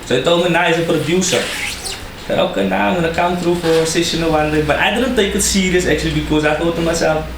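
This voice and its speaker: A man's voice: a little high, fast. A young man from South Africa with a Cape Town accent, a rapper talking casually as a podcast guest, slangy and laughing often. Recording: echoey room with noise.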